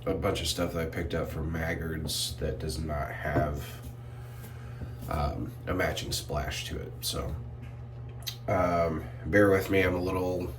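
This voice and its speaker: A man reviewing shave soap in a relaxed, rambling way, a bit sniffly and clearing his throat often. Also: very deep voice, slow, distant mic, over music. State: sniffly from irritated sinuses. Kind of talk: casual product review vlog.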